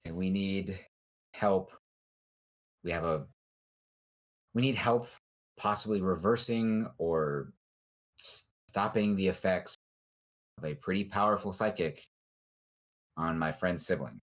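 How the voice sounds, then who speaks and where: A man at a tabletop game, stumbling and restarting as he tries to explain himself. His voice is very deep. He sounds hesitant and awkward in a casual way. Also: takes it slowly, long pauses, rough recording. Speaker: player.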